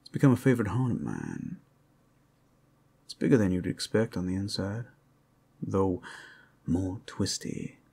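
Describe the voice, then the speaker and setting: Teasing werewolf drifter acted by a man for ASMR roleplay. Folksy, coaxing, gravelly and deep, very animated intonation. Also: measured pace, pauses often, full character voice. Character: casual, teasing male werewolf urban explorer.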